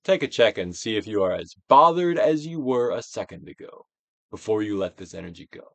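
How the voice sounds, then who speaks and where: Male stage-actor narrator for a guided self-help reading. Grand, declamatory, very wide melodic range, drawn-out emphasis, recorded dry. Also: fairly low pitch, expressive, creaky, a bit bright, dry recording. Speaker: audiobook reader, actor. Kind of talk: theatrical dramatic reading of a self-help book.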